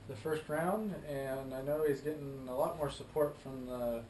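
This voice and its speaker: A man's voice: mid-range voice, moderate pace, darkish tone, distant mic. An American man co-hosting a show, laid-back and easygoing. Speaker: co-host. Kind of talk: casual chat. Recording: roomy echo, off-mic, faint noise.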